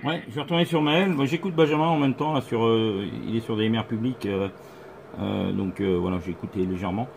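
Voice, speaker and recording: A man's voice: fairly low pitch, a little fast, vocal fry, darkish tone, slight background noise. A man, a radio operator, chatting casually on a two-way radio contact from indoors at home.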